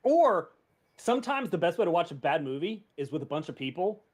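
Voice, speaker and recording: A man's voice: fairly high pitch, very animated, a little fast, darkish tone. A man chatting casually and informally as a podcast host.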